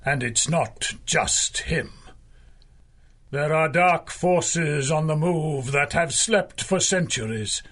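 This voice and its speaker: An actor playing a weary old mystic hero, grave and dramatic, in a 1930s-style superhero radio drama. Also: male voice, a little high, fairly bright tone, heavily acted.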